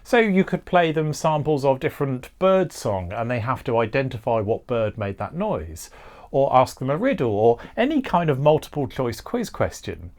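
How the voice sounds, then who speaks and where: A man's voice: medium pitch, sing-song, moderate pace, a little mellow. A man hosting an electronics tutorial, narrating each step in an informal, methodical, explanatory way. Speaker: host of an electronics tutorial channel.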